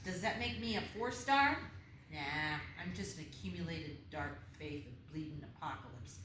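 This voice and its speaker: A woman's voice: low-pitched, animated, moderate pace, a bit bright. A woman playing a taunting, smug little demon punk girl, mocking and flippant, in a screenplay table read. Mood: mocking, amused. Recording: very echoey room, clear background noise.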